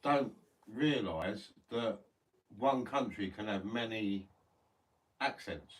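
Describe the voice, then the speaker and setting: A man's voice: on the low side, slow pace, husky, far from the mic. A British man chatting casually as a laid-back co-host beside a livestreamer. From Britain. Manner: laid-back, brief replies. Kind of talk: casual chat. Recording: heard from off-mic.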